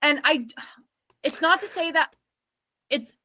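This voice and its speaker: Brief: woman vocalist in interview, bright ringing tone, loose talky delivery with lots of fillers. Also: high voice, lively intonation, unhurried, slight background noise. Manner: chatty and reflective, many "like" fillers. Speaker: singer and self-producing recording artist. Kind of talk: casual interview answers.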